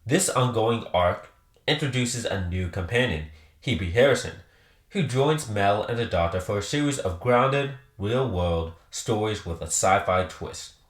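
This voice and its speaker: A man's voice: fairly low pitch, expressive, a little slow, roomy sound. A man presenting a scripted countdown review with emphatic, enthusiastic delivery. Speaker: host presenting a ranked countdown.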